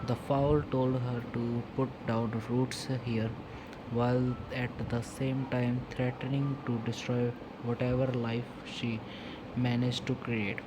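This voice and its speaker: A man reading video game dialogue aloud, haltingly, stumbling over and repeating words. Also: medium pitch, level tone. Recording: clearly noisy background.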